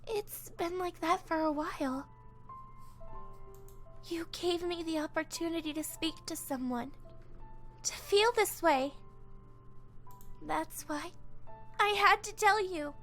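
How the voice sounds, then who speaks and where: Super high girlish voice, shy young lady in a visual novel, hesitant n tender, music under it. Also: slow pace, pauses often, a bit bright, full character voice. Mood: tender, confessing. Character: shy, tender young woman confessing her love.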